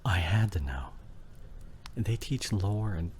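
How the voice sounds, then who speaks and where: A man playing an injured, fading husband and father in an emotional ASMR audio drama, tender and intimate, with a breathy voice that often drops toward a whisper. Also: lowish voice, animated, slow, a little mellow, slight background noise. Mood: weak, wistful. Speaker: audio roleplay voice actor.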